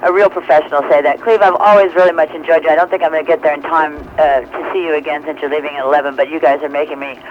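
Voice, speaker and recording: A woman's voice: low voice, steady tone, racing, hardly any pauses. A woman calling a radio show by phone from her car, chatting in a casual, teasing way. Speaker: radio caller. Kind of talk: casual, teasing call-in chat. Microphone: telephone. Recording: dull, noisy phone line from car.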